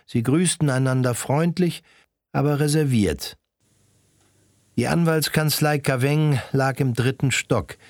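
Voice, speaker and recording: Casting: male narrator, mid-pitched, scripted literary storytelling, dry studio sound. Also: measured pace, no room sound.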